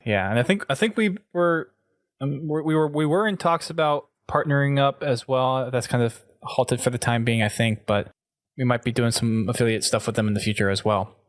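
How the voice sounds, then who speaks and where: A man hosts a casual gaming podcast with an enthusiastic manner. His voice sits at an average male pitch, recorded dry with no room sound. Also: normal speed, no echo. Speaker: podcast host.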